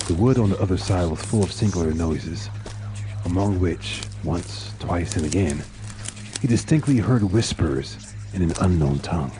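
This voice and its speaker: A man with a very low voice reads a horror tale as scripted narration. His delivery is solemn and measured, with dramatic weight. Music plays clearly behind him. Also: poor audio.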